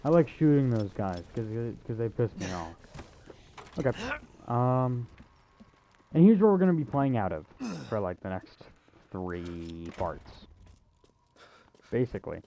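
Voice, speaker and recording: A man's voice: medium pitch, very animated, measured pace, pauses often, mellow, slight background noise. A man giving casual, offhand commentary as he hosts a video game walkthrough. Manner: offhand, informal. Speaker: gaming video host.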